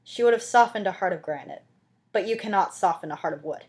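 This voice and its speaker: A woman's voice: medium pitch, animated, quick pace, a little mellow. A woman reading a classic novel aloud as an amateur audiobook narrator, with dramatic scripted delivery.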